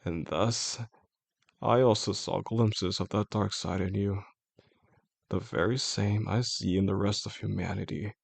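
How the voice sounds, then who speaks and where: A man acting as a possessive, obsessive male boss in an intimate audio drama. His voice is low and heavily creaky, with a husky rasp. He speaks slowly and deliberately, coaxing with calm menace and formal phrasing. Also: dry recording, heavily acted. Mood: brooding, fascinated. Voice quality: gravelly, husky. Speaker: audio roleplay voice actor. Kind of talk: intimate scripted roleplay monologue.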